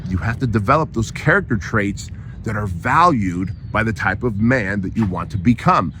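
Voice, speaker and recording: Man, hoarse, lowish voice, emphatic motivational podcast host, repeats himself, faint background hiss. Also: expressive, normal speed, pushed and bright. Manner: emphatic, repeats phrases, frequent tag "right?". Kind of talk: motivational monologue.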